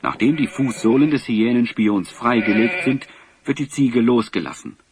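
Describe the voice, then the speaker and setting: A man's voice: mid-range voice, moderate pace, crowd noise. A man narrating a scripted audio drama as a storyteller.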